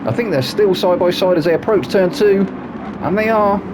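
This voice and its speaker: A man calls a sim racing league with a snuffly, stuffed-up voice, pitched fairly high for a man. He chats along casually and keeps tacking on little questions like "isn't he?". Loud car engine noise runs under everything he says. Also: normal speed. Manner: chatty, asks tag questions, drops low for asides. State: snuffly from hay fever. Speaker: race commentator. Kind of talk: live sim racing commentary.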